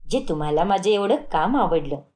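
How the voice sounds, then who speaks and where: A woman plays a young daughter-in-law in a cartoon moral tale. She is polite, eager and dutiful, speaking in a lowered pitch. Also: animated, moderate pace, darkish tone, close to an everyday voice. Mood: grateful. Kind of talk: animated moral-story cartoon dialogue.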